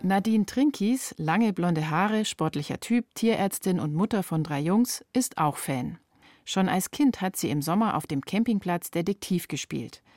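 A woman's voice: on the low side, lively intonation, a little slow, a little mellow. A woman reporter reading scripted narration for a radio feature.

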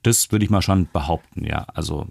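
A low-voiced man from Germany, bilingual in German and Japanese, speaks as an interviewed chef. He explains things at length in a casual, talkative way. The recording is close and dry. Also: brisk, creaky, darkish tone, slightly rough, no echo.

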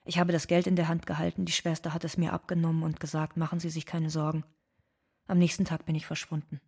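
A woman playing a stoic, bitter undocumented immigrant from Ecuador, speaking in deliberate, restrained radio drama monologue. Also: deep voice, little pitch movement, a little mellow, husky. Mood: stoic, sad.

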